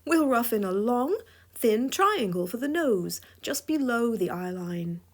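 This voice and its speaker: A woman's voice: a little high, very animated, a little slow. A woman with a scratchy voice giving a drawing tutorial voice-over, playful and chuckling often. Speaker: drawing instructor.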